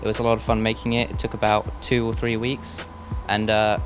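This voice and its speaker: A man's voice: fairly low pitch, completely flat delivery, brisk. A teenage boy casually describing a school project in an interview. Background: background music.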